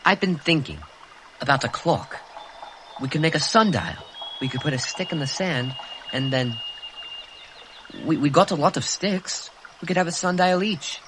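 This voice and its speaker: Guy putting on a nervy fussy schoolboy, voice pushed up high, pleading. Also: very animated, normal speed, pauses often, fairly bright tone, a little hiss or hum. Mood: earnest. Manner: earnest, pleading, high-strung. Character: anxious plump schoolboy with glasses, fussy.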